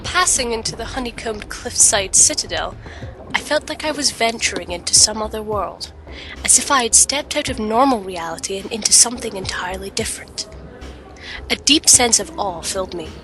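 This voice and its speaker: A woman's voice: medium pitch, animated, normal speed, close to an everyday voice, poor audio. A woman playing a thoughtful, questioning young adventurer in a fantasy audio drama, speaking earnestly and reflectively. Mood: awed, reflective. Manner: earnest, reflective, literary phrasing. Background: music.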